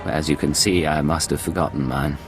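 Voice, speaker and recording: Very deep, gravelly secret agent. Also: male voice, fairly even intonation, a little fast, crowd noise. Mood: dry, probing. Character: cool, unflappable male Imperial secret agent. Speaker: player character in a sci-fi game. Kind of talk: dramatic game voice acting. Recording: dramatic music behind.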